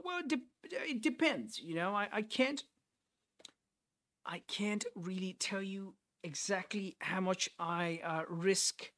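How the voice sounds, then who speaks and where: Man, higher voice, thinks aloud, repeats himself, live stream host. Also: sharp tone. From Nepal. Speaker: trader hosting a live stream. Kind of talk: live trading commentary, reading chat.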